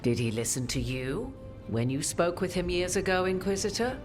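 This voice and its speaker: An actress playing an imperious, cryptic sorceress in a fantasy game, speaking in formal, archaic, theatrical phrases. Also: female voice, lowish voice, sing-song, normal speed, music in the background. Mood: grave. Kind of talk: dramatic video game acting.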